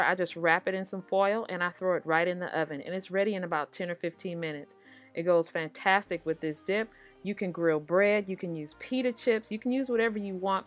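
American lady cooking host, kinda low voice, chatty recipe voiceover talking right to viewers, music in the background. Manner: conversational, addresses viewers directly. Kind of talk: casual recipe narration.

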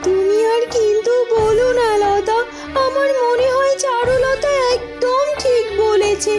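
Cartoon fairy sidekick, a woman acting: extremely high voice, combative, rousing, over loud music. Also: fairly even intonation, takes it slowly. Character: spirited little female fairy companion, loyal and combative.

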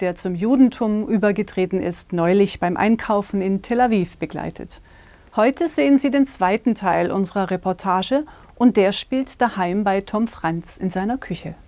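A woman in her forties presenting a news magazine segment in a TV studio, crisp and polished. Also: mid-range voice, darkish tone, dry recording. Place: small TV studio. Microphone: lapel mic.

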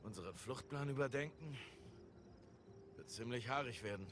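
A man's voice: medium pitch, normal speed, background noise, close to an everyday voice. A man voicing a worried, sarcastic thief. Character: nervous adult male thief, the older brother. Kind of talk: video game dub dialogue. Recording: game music behind.

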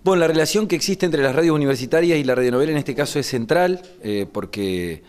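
A man in his forties with an Argentine accent, talking in an interview and pausing to find his words. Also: on the high side, lively intonation, darkish tone, smooth. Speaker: radio producer. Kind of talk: explanatory interview talk. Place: small wood-panelled room indoors. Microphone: microphone just in front.

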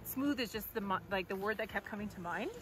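A woman giving a relaxed, chatty ski review outdoors on a snowy mountain. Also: mid-range voice, fast, soft background music. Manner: chatty, relaxed, says "like" a lot. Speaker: ski tester. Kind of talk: casual gear review.

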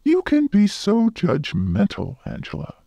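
A man acting a patiently chiding school drama teacher in a radio play, with drawn-out, emphatic delivery. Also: sits high, huge pitch swings, creaky, mellow, dry recording, muffled recording, heavily acted. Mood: exasperated hushing.

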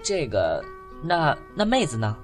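Young street hustler guy voiced by a woman, blunt, protective, hot-tempered, lowish voice with lively intonation, for audio drama. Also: a little slow, over music, heavily acted. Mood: subdued. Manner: emotional, blunt, slangy. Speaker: voice actor.

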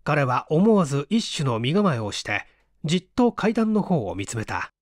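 Man narrating suspense fiction with lively intonation. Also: a little high, no echo, studio quality. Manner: suspenseful, theatrical, measured. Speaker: audiobook reader. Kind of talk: scripted dramatic narration of a mystery novel.

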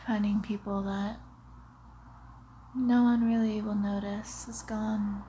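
A young female vampire, acted out by a woman for an ASMR roleplay, murmurs extremely slowly and teasingly. Her breathy voice often drops to a whisper, menacing and close to the mic, with wet mouth sounds. A clear bed of room noise sits behind her. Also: medium pitch, flat delivery, close to an everyday voice. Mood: menacing, hungry. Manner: slow, hushed, often slipping into whispers, teasing.

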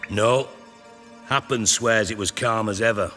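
A man's voice: on the low side, unhurried, over music, close to an everyday voice. An actor playing an irritable, self-important male crime boss in a video game, sardonic, clipped and foul-mouthed. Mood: dry, irritated. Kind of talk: video game dialogue acting.

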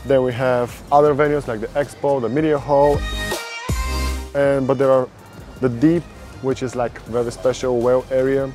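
A man's voice: mid-range voice, flat delivery, normal speed, over music. A man hosting a casual walkthrough of a conference venue outdoors, chatty and upbeat. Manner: upbeat, chatty tour-guide delivery. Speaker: conference reporter and host.

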